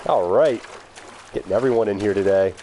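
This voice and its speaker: A man's voice: lowish voice, a little fast, warm tone, a little hiss or hum. A man streaming a video game, chatting casually with his viewers and calling them by name. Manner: chatty, talks to chat viewers by name, laughs often. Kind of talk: casual live-stream commentary.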